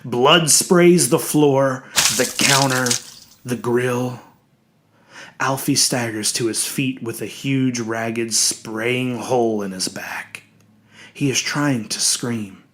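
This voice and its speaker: A man narrates a horror story in a mid-pitched voice. His delivery is slow and deliberate, drawing out words to build suspense. Some noise sits in the recording. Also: a bit bright. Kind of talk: dramatic scripted audiobook narration.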